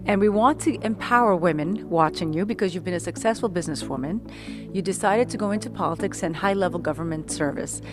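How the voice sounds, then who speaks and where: A woman's voice: fairly low pitch, fast talker. Formal woman interviewer, music behind. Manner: formal, deferential, with a few restarts. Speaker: TV interviewer, host.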